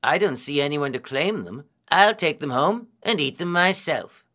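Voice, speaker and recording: A man's voice: a little high, lively intonation, normal speed, pushed and bright, voice pushed up. A man voicing a self-serving male otter in a children's story, with casual, exaggerated intonation. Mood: curious, pleased.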